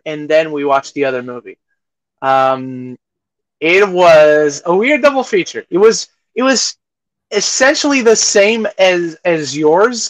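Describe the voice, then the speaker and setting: A man's voice: on the high side, no echo, poor audio. A man co-hosting a podcast, chatting casually about movies in a conversational, storytelling way. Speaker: podcast co-host.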